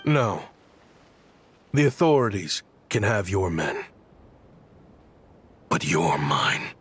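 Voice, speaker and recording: A man's voice: lowish voice, slow, a bit bright, a little hiss or hum. A man voicing a bitter, remorseful weapons researcher in a video game, grim and intense. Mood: cold. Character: bitter, remorseful adult male weapons researcher seeking revenge.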